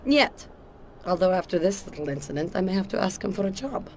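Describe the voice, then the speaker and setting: An actress playing a cool, confident Soviet KGB agent in a video game, with a Russian accent and a commanding, matter-of-fact manner. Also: female voice, medium pitch, expressive, fairly quick, slight background noise, natural voice. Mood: cool, assured. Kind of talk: video game cutscene acting.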